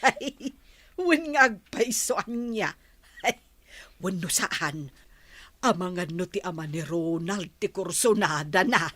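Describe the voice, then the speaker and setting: A woman's voice: lowish voice, expressive, takes it slowly, a bit bright, no room sound, exaggerated voice. A woman acting an inquisitive, gossipy household maid with a drawl in an Ilocano radio drama. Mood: gossipy, teasing.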